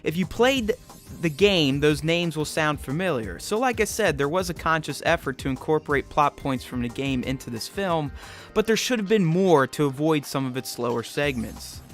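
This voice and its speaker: A man's voice: on the high side, lively intonation, normal speed. A man hosting a film review, reading scripted narration. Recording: music bed behind voice. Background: background music.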